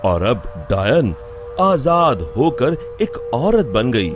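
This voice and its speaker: Slow, expressive male storyteller. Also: medium pitch, music in the background. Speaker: narrator. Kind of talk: scripted storytelling narration.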